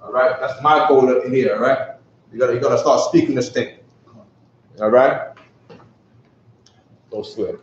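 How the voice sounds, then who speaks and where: A man's voice: mid-range voice, normal speed, pauses often, slight background noise. A man teaching a casual live class, who keeps repeating himself and asking "you know what I'm saying?". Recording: echoey, distant, dull live stream.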